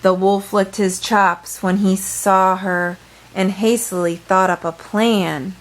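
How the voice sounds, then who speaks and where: A woman tells a children's story at an unhurried, slow pace. Her pitch sits in the middle for a woman, and she reads playfully and dramatically, with brief asides. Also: fairly even intonation, rough recording. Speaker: storyteller reading a picture book aloud. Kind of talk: expressive children's read-aloud with brief asides.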